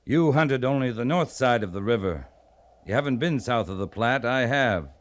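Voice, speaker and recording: An actor playing a resolute American army captain in an old-time radio western, speaking in a measured, authoritative way. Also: male voice, medium pitch, animated, lightly acted. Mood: firm, persuasive. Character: resolute, plain-spoken U.S. Army cavalry captain in the Old West. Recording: vintage radio drama recording.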